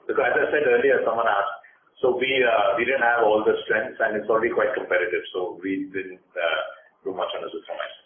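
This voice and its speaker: A man's voice: fairly low pitch, fairly even intonation, a little fast, narrow, tinny audio. A man, an executive answering analysts on an earnings call in a guarded, hesitant manner. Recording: echoing phone conference line, faint noise.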